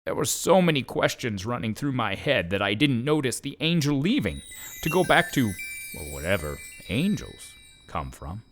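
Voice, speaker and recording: A man's voice: mid-range voice, sing-song, moderate pace, fairly bright tone, soft background music. A man playing an observant, easily startled donkey who tells his story in a wry, bemused way for a children's audio drama.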